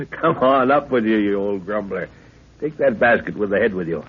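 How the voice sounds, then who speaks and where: A man's voice: fairly low pitch, expressive, moderate pace, breathy edge. A man playing a middle-aged professor and father, hearty and commanding, leading an expedition in a 1930s radio adventure. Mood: brisk, excited. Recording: tinny, noisy 1930s radio recording.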